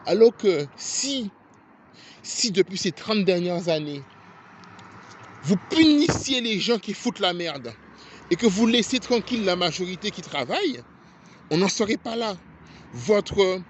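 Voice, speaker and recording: A man's voice: sits high, a little hiss or hum. A man with a foreign accent in French, ranting indignantly to camera in a vlog, stammering and repeating himself as he gets heated. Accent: non-native French accent. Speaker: vlogger. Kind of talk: angry political rant to camera.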